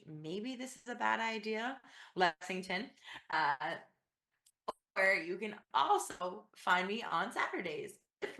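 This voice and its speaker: A woman's voice: deep voice, sharp tone. A woman who is losing her voice, chatting casually to viewers as a player on a tabletop gaming stream. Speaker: cast member of tabletop game livestream. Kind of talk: casual, chatty self-introduction.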